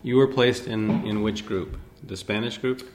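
A man's voice: lowish voice, steady tone, a little slow, a crowd in the background. A man interviewing someone for an oral history, asking long, rambling questions full of restarts.

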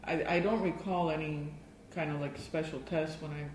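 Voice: mid-range voice, steady tone, normal speed, slight background noise. Reflective speaker recalling school days, Spanish native. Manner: reflective, thoughtful recollection with small restarts. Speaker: interviewee. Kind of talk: oral history interview. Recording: echoey room.

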